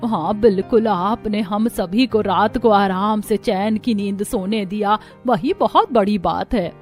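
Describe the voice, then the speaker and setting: A woman's voice: mid-range voice, measured pace, a little mellow, faint music behind, lightly acted. A woman playing an anxious, agreeable village housewife in a cartoon story, chatty and earnest. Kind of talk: Hindi cartoon story acting.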